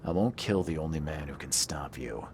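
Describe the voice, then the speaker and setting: An actor playing a weary, skeptical investigator in a video game, with a dry, blunt, probing manner. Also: male voice, rumbling low, expressive, moderate pace, a little mellow, slight background noise. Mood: skeptical, stern. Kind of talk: video game dialogue acting.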